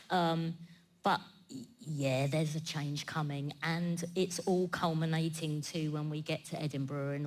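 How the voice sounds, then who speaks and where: A middle-aged woman talking informally on a panel, candid and emphatic. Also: sits low. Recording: clear background noise.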